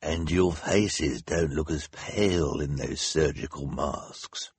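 A man voicing a sly, sneering hook-handed villain in a storybook, with a gravelly, husky voice and a slow, menacing drawl. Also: very deep voice, no room sound, voice pushed down, exaggerated voice. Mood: knowing, sly.

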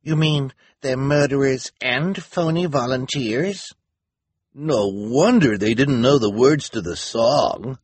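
Guy doing a shocked gullible bystander in a story, exclaiming, high swoopy voice. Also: very animated, a little slow, few pauses, husky, dry recording, heavily acted. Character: astonished, gullible hospital onlookers: nurse, doctors, volunteer.

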